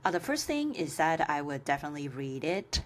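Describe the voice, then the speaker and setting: A woman with a Chinese accent, a native Chinese speaker, giving an informal tutorial as a course instructor, with halting phrasing full of filler sounds. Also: sits low, sing-song, slight background noise. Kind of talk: informal screen-share tutorial.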